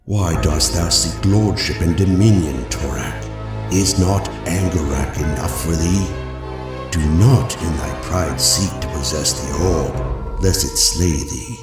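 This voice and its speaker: A man voicing a stern, wise male god who rebukes his brother, with a deep, booming delivery in a storybook reading. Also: slow. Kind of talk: audiobook character voice. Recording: echoey, distant, music underneath.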